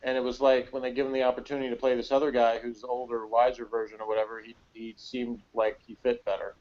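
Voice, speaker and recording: A man's voice: medium pitch, little pitch movement, fast. A man co-hosting a casual, opinionated chat, talking in a meandering, conversational way peppered with "like". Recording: slightly dull, lo-fi voice recording.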